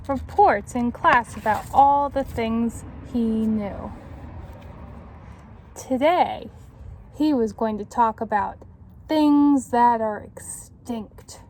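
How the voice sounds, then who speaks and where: Lady tutor doing a kids read-aloud, real slow, asks the little ones questions, some noise behind her. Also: on the high side, mellow. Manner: slow, instructive, pausing to question young listeners. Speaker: tutor reading a children's book aloud.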